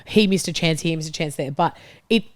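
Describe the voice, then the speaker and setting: A woman talks football casually with other fans. She speaks very quickly in a low voice, with informal wording and casual swearing. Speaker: panelist on a football fan show. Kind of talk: casual chat, football debate.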